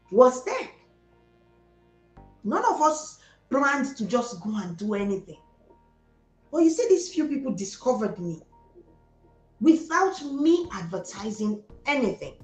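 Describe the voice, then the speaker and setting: A woman's voice: mid-range voice, roomy sound, poor audio. A woman with a Nigerian Igbo accent, a psychologist speaking as a guest, giving emphatic motivational advice, repeating words for stress and slipping into Pidgin. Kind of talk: motivational conversation.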